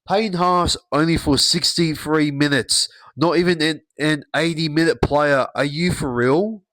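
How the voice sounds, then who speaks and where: Man, young adult, croaky voice, halting speech, lots of fillers, ranting podcast host, dry close sound. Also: mid-range voice, a bit bright, no echo. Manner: halting, many fillers and stutters, gets heated. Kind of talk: solo sports review rant.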